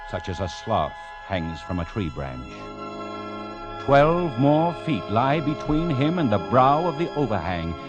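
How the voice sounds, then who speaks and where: A man narrating a 1940s radio drama in a suspenseful, theatrical style with deliberate emphasis. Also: mid-range voice. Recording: 1940s radio broadcast recording.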